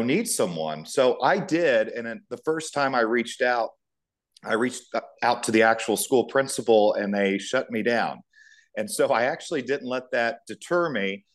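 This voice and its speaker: Low-pitched American man telling his story. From United States, Indiana. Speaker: guest, sports public address announcer. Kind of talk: conversational personal storytelling.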